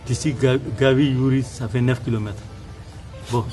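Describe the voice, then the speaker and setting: A man's voice: mid-range voice, steady tone, moderate pace, dark tone, soft background music. A plain-spoken man with a West African accent, giving a conversational answer in a documentary interview.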